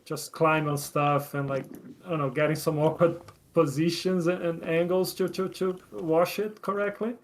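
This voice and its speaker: A man's voice: on the high side, a little hiss or hum. A man, a game developer, joining a casual panel chat with loose, agreeable remarks and frequent fillers.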